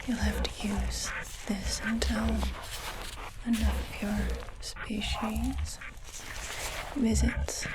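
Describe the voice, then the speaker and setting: Whispering, unhurried alien technician, played by a woman. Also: a little high, little pitch movement. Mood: matter-of-fact. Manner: soft, slow, deliberate whispering. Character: calm alien technician implanting a translator, matter-of-fact. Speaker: ASMR performer. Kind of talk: whispered ASMR roleplay. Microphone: close-up microphone. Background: keyboard typing sounds.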